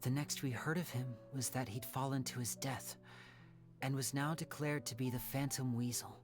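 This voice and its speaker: An actor playing a young male magician with secrets, speaking in a soft, reflective, measured game-dialogue style. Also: mid-range voice, fairly even intonation, close to an everyday voice. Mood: gentle, sombre. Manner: soft-spoken, reflective, measured theatrical delivery. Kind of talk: scripted dramatic game dialogue. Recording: music playing under the voice.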